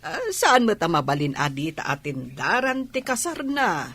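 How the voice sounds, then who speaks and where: A woman's voice: fairly low pitch, big pitch swings, moderate pace, a bit bright, breathy edge, no room sound, full character voice. A woman playing a mild middle-aged mother in a radio comedy drama. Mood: wistful.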